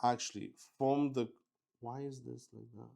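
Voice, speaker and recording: A man improvising narration for a solo tabletop roleplaying game as its host, halting as he thinks aloud. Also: mid-range voice, steady tone, gravelly, mellow.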